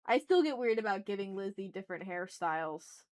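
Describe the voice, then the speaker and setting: Female streamer, medium pitch, informal and rambling, thinking out loud in casual chat while she works. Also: lively intonation, brisk. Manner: informal, mutters to self, mild swearing. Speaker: art livestream host.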